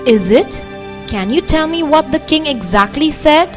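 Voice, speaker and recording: A woman voicing a caring wife who asks gentle, concerned questions. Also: sits high, expressive, measured pace, music in the background. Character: caring, curious adult wife.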